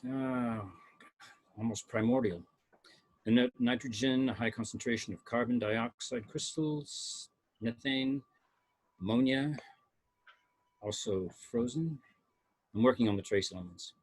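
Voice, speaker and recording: Man playing a sci-fi science officer: husky mid-range voice, clinical, matter-of-fact delivery of instrument readings. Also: mid-range voice, a little slow, pauses often, low-quality recording. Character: male spaceship science officer, measured and clinical. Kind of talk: amateur screenplay table read.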